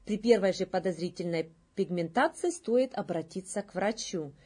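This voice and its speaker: A woman in her thirties reading scripted news as a presenter, with a slightly husky voice. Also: on the low side, lively intonation, moderate pace, warm tone.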